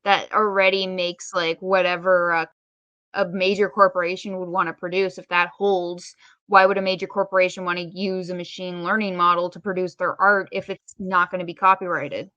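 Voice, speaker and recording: Bright, crisp-edged woman, lower-pitched, thinking aloud. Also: flat delivery, moderate pace, no room sound, lo-fi sound. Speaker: podcast co-host. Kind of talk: casual podcast discussion.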